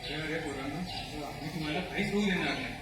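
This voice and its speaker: A man's voice: on the high side, quick pace, close to an everyday voice. A man acting a merciful jungle creature in a stage play, speaking in a theatrical, appealing way. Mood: soothing. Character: merciful jungle creature pleading for captive children's lives. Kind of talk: Marathi one-act stage play acting. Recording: echoey hall from far off, faint noise.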